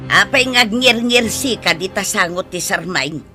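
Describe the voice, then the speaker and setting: A woman's voice: medium pitch, lively intonation, a little slow, over music, lightly acted. A woman in a comedic radio drama playing a suspicious, gossipy village mother, blunt and accusing, with an Ilocano accent. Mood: scolding.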